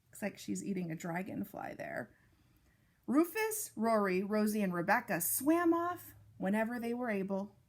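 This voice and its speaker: A woman reading a picture book aloud to young children, with deliberate, expressive phrasing and casual asides. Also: medium pitch. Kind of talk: read-aloud storytime narration with casual asides.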